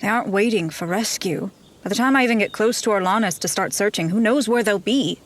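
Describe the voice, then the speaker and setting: A woman's voice: mid-range voice, brisk, natural voice. A woman playing a blunt, loyal fantasy adventurer in a tabletop roleplaying game. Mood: weary. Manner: direct, firm.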